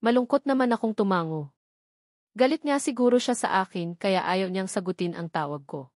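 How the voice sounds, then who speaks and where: A woman's voice: mid-range voice, moderate pace, darkish tone, no room sound, studio quality. A woman with a Filipino accent narrating a romance novel in the first person. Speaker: audiobook narrator.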